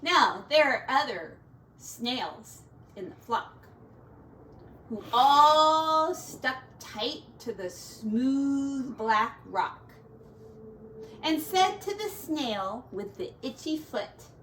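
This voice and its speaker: A woman's voice: sits high, animated, drawn-out words, fairly bright tone. A woman teacher reading a rhyming children's picture book aloud theatrically, drawing out key words and adding little asides. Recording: roomy echo, distant mic, faint noise.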